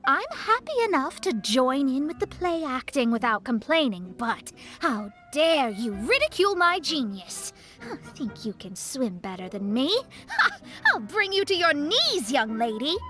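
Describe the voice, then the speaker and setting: A woman's voice: high voice, big pitch swings, unhurried, sharp tone, soft background music, exaggerated voice. A woman voicing a proud, theatrical, combative young female rival in a video game. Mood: haughty, challenging. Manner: haughty, dramatic.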